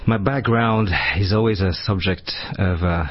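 A man in his forties who grew up in Madagascar with French as his first language, talking casually as a studio guest and often pausing to search for words. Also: low voice, fairly even intonation, muffled recording. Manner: rambling, searching for words, many fillers. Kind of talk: casual chat.

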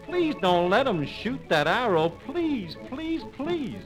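Man doing scared pleading bear from a kids story, high voice, anxious begging, music behind. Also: very animated, slow, heavily acted, poor audio. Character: timid, pleading forest bear.

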